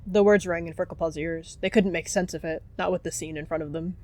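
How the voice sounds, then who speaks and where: A woman's voice: on the low side, fairly even intonation, a little fast, warm tone. A woman reading scripted story narration for an animal fantasy roleplay.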